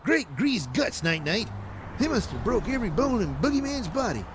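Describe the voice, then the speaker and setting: A man's voice: high-pitched, very animated, moderate pace, noisy background, voice pushed up. A man voicing a timid, whiny male superhero sidekick in a comedic audio play. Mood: worried.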